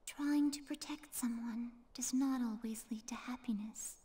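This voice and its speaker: Woman playing a hushed, high-voiced mysterious girl. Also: steady tone, unhurried, lightly acted. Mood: solemn, sorrowful. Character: gentle, sorrowful mysterious girl, otherworldly and solemn. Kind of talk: dramatic video game cutscene acting.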